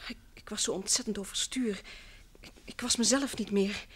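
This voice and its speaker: A woman's voice: mid-range voice, fairly even intonation, normal speed, slight background noise, lightly acted. An actress playing a distraught, earnest wife in a vintage radio play, emphatic and sometimes hesitant as she insists. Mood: anxious, insistent. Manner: earnest, emphatic, hesitant and repeating herself when upset. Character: distraught, insistent wife convinced her missing husband lives.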